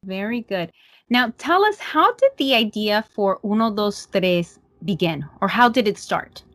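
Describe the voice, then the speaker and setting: A woman's voice: medium pitch, lively intonation, measured pace, fairly bright tone, dry recording. A woman from Medellín, Colombia with a Colombian accent, hosting an interview and asking encouraging questions. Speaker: podcast host. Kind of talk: interview conversation.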